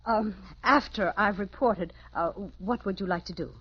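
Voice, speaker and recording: An actress playing a brisk, composed female army lieutenant of the future in a 1950s radio drama, matter-of-fact as she explains. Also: medium pitch, husky, close to an everyday voice. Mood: brisk, assured. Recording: noisy, muffled old radio recording.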